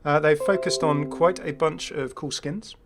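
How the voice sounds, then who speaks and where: A man's voice: on the high side, steady tone, moderate pace. A man giving casual, enthusiastic commentary on a game update in a chatty way. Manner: chatty, enthusiastic, readily amused. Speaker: YouTube gaming creator.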